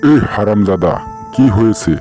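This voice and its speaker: Man voicing a deep, gruff cartoon tiger uncle. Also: normal speed, a little mellow, soft background music, lightly acted. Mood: angry, grumbling. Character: gruff, short-tempered tiger uncle, bossy and scolding. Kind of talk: comic cartoon dialogue.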